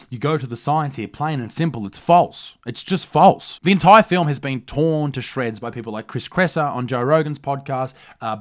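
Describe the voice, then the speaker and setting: Blunt, sweary Australian man. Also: mid-range voice. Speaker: comedy news commentary host. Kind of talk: comedic rant to camera, mock news delivery.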